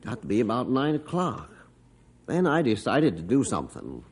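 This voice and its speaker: A man's voice: mid-range voice, huge pitch swings, moderate pace, slightly rough, heavily acted. An actor playing a middle-aged rancher father who tells his story in a folksy, matter-of-fact way in an old radio drama. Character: rancher father Mister Jorgensen, gruff storyteller. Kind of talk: 1950s radio drama narration.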